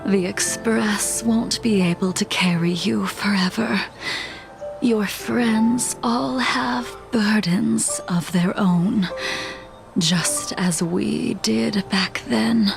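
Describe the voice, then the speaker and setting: A woman playing a calm, weary swordswoman haunted by vengeance in a video game, speaking softly and solemnly with measured delivery. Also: mid-range voice, gravelly. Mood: solemn, resigned. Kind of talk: dramatic video game voice acting. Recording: background music over game dialogue.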